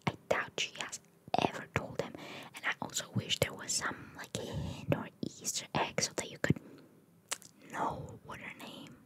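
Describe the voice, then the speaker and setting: A woman whispering as an ASMR host, reading out trivia in a breathy voice with casual, chatty asides. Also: moderate pace. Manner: casual, chatty, playfully opinionated.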